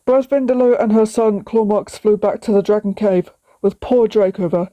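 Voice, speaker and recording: A woman's voice: medium pitch, moderate pace, mellow, no room sound, low-quality recording. A woman narrating a story aloud, sometimes stumbling and repeating words.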